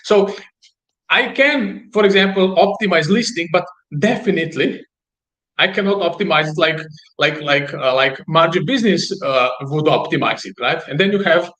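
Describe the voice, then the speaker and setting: Male business guest, high voice, Slovenian native accent, explanatory and halting, repeats words for emphasis. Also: fairly bright tone.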